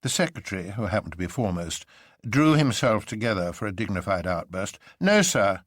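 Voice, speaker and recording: A man with a fairly deep voice narrates a classic novel in a dramatic, scripted style. The recording is close and dry, with hardly any room sound. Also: brisk, no echo. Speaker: narrator and reader.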